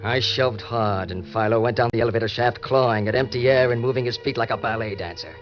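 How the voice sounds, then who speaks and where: An actor playing a cynical, murderous schemer with a clipped, slangy, hard-boiled manner in an old-time radio crime drama. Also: male voice, medium pitch, quick pace, close to an everyday voice. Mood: cynical, tense. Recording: dull vintage radio recording, music.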